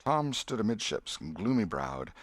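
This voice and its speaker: Male audiobook narrator, deep register, measured and expressive, close dry sound. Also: dry recording. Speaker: audiobook reader. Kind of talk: scripted narration of a classic novel.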